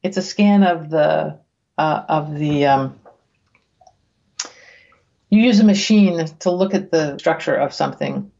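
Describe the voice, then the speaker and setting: A woman reading research aloud as a guest, with casual, bemused asides, thinking out loud in a conversational way. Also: sits low, unhurried, creaky, long pauses, muffled recording. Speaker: guest reader reacting to research papers.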